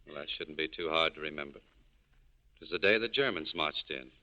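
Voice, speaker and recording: A man's voice: a bass voice, normal speed, pushed and bright. A male actor playing a curt, world-weary cafe owner in a 1940s radio drama, clipped and guarded. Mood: bitter, guarded. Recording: muffled vintage radio recording.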